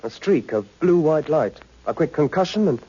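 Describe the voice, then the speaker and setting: Husky, animated radio-drama newsman. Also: a little high, moderate pace. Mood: urgent, keen. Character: keen, blunt newsman bringing urgent reports. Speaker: supporting actor in radio serial. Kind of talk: old-time radio science fiction drama acting. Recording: muffled, dull old radio recording.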